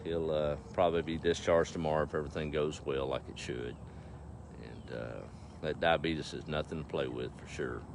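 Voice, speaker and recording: A man's voice: rumbling low, steady tone, moderate pace, creaky. A middle-aged man with an East Texas accent chatting casually on a farm livestream, folksy and easygoing, talking to his animals and viewers. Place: outdoors on a farm. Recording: faint outdoor background noise.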